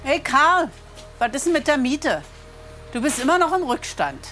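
A woman's voice: high-pitched, very animated, moderate pace, a bit bright, faint music behind. An actress playing a generous, outspoken older landlady and mother in a naturalistic TV re-enactment. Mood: reproachful.

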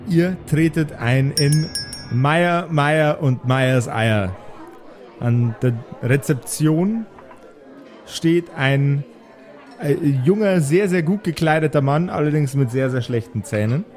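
Higher-voiced man narrating a tabletop game. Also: animated, darkish tone. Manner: halting, searching descriptions. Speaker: game master.

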